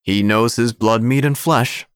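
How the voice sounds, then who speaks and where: A man's voice: medium pitch, unhurried. A man giving dry, wry first-person narration for an audio drama. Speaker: narrator and obituary writer character.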